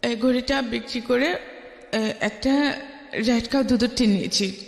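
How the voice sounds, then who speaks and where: Middle-aged Bangladeshi female guest, high voice, emphatic personal storytelling, recorded with long reverb. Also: measured pace, creaky. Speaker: guest telling her life story. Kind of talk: personal storytelling in a radio interview. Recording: echoey room with long reverb.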